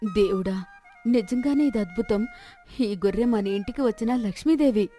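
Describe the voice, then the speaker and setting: A woman's voice: mid-range voice, moderate pace, mellow, soft background music, lightly acted. A woman playing an exclaiming, questioning village farmer's wife in moral-story dialogue. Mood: amazed, hopeful. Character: village farmer's wife, doubting then hopeful.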